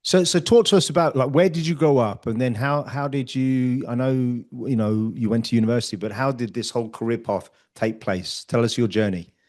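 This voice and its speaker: A man's voice: medium pitch, brisk, few pauses, a little mellow. A man hosting a podcast interview, asking casual questions and adding encouraging short replies. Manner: encouraging, with short backchannels and laughs. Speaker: podcast host.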